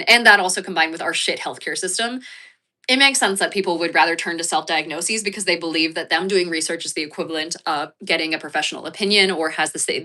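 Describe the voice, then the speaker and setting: A woman's voice: on the low side, pushed and bright. An American woman livestreaming and chatting with her viewers, rambling and swearing casually, with a sniffly, congested voice. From United States. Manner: rambling, swears freely, many fillers and restarts. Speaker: livestreamer reading chat. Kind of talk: casual chat.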